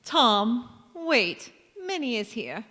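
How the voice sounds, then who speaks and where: A woman's voice: fairly high pitch, big pitch swings, slow, pushed and bright, exaggerated voice. A woman playing an anxious, fussing middle-aged wife and mother in an old-time radio drama, in an echoey hall. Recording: echoey church hall stage.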